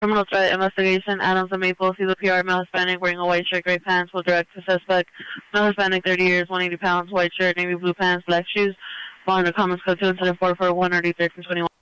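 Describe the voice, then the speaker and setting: Guy dispatching on police scanner, talks fast and flat, really crisp bright voice. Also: sits high, rough recording. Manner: flat, procedural, reading codes and addresses. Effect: police radio transmission.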